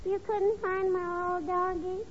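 A woman's voice: extremely high pitch, little pitch movement, moderate pace. A woman playing a wistful, earnest little American girl in a 1940s radio comedy. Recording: muffled, noisy 1940s radio recording.

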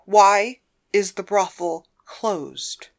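A woman's voice: mid-range voice, drawn-out words. A woman voicing a domineering, controlling wife with a stern, commanding manner in audiobook dialogue. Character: domineering, controlling wife and mistress interrogating her husband.

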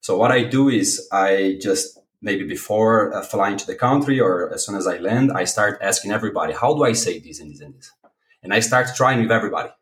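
Low-voiced Brazilian man, playful. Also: brisk. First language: Portuguese. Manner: playful, self-deprecating. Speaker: podcast guest. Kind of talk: casual chat. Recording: echoey room.

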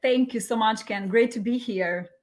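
A woman's voice: on the high side, moderate pace, a little mellow. A woman from Albania with an Albanian accent, talking casually as an interview guest, playful and emphatic, often repeating a phrase.

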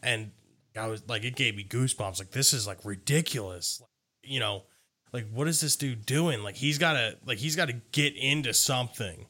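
A man's voice: mid-range voice, moderate pace, pushed and bright. A man chatting casually about music in loose, informal phrasing full of filler "like".

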